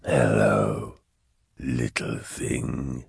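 A hovering demon, speaks in an extremely deep, whispered rumble. He drags each phrase out very slowly, sly and mock-friendly, insinuating menace in a storybook horror tale. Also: fairly even intonation, creaky, husky, dry recording, clean studio sound, heavily acted. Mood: soft menace. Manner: slow, drawn-out, insinuating whispery delivery. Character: hovering male demon host, huge-voiced, mockingly friendly and sly.

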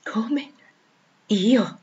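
A woman's voice: medium pitch, brisk, highs cut off, voice pushed up, close to an everyday voice. A woman voicing an earnest, devoted, disbelieving poor young woman in a dramatic audiobook. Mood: earnest disbelief. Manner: questioning, earnest. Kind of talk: dramatic audiobook character dialogue.

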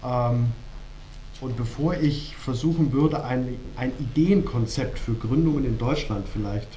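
A man's voice: mid-range voice, warm tone, reverberant, a little hiss or hum, poor audio. Formal German man with a cold. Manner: formal, halting, polite. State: has a cold. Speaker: journalist and jury member, guest. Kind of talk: formal address to an audience.

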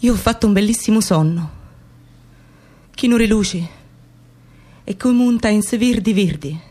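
A woman's voice: medium pitch, a little slow, warm tone, natural voice. An actress playing an anguished, defiant working-class Sicilian woman in a radio theatre piece, in incantatory, repetitive dramatic monologue with a Sicilian accent. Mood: anguished, dreamy. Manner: incantatory, repeating phrases with intensity.

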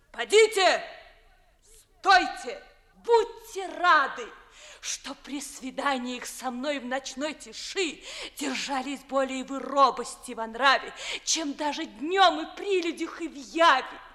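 An actress playing a proud, wounded young gentlewoman in a 1950s theatrical radio play in verse, declaiming with breathy outbursts. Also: high voice, takes it slowly, heavily acted. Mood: indignant, cold.

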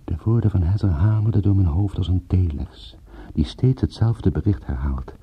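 An actor narrating a radio play as a world-weary, self-mocking private detective, husky and gravelly, wry and deliberate. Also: male voice, low voice, animated, heavily acted. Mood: tense, wry. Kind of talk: hard-boiled first-person radio drama narration. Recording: tinny narrow-band radio play recording.

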